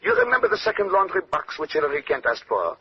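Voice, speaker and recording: An actor playing a brilliant, self-assured Belgian detective with a French-speaking accent, speaking precisely and courteously in old-time radio drama style. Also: male voice, a little high, wildly swooping pitch, fast, breathy edge, full character voice. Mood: confident, explanatory. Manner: precise, lecturing, punctuates points with questioning "eh?". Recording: dull, noisy old radio recording.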